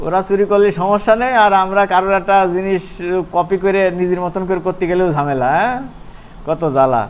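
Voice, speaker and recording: A man gives a casual, chatty software tutorial in an informal, colloquial way, often checking in with his listeners. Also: high-pitched. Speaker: animation course instructor. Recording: clear background noise.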